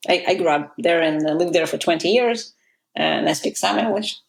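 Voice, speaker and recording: A woman in her thirties whose first language is Russian, talking in a fairly low voice with a gravelly edge. She tells her story in a podcast interview, hesitant and full of pauses and "uh"s. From Soviet Union. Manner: hesitant, with many pauses and fillers. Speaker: guest.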